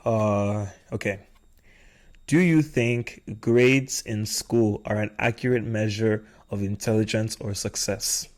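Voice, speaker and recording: A man's voice: lowish voice, steady tone, slow pace, darkish tone. A young adult man hosting a podcast in a casual conversational style. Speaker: podcast host.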